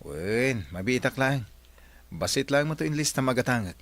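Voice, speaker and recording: A man's voice: medium pitch, lively intonation, normal speed, fairly bright tone, no echo. A man acting as a flirtatious, scheming married man in a comedy-drama radio play. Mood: agreeable.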